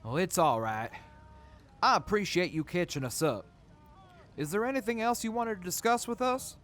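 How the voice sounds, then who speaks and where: High-pitched, animated, polite man played by an actor. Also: normal speed. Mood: polite, concerned. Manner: polite, careful explaining. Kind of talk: audio drama acting.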